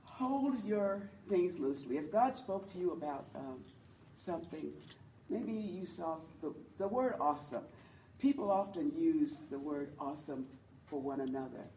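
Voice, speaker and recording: A woman with a low voice teaches a group about leading worship, earnest and encouraging as she draws her listeners in. She is heard from across the room, the sound roomy and carrying a faint hum of ambience. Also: animated, normal speed, mellow, recorded from a distance. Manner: earnest, encouraging, speaks to the audience and invites replies. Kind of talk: church teaching talk.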